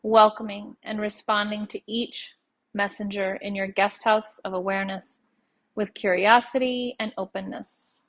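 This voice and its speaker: Even-toned woman guiding meditation. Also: medium pitch. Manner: measured, gentle phrasing with long pauses between short phrases. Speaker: yoga nidra meditation guide. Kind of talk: guided meditation, slow and soothing narration.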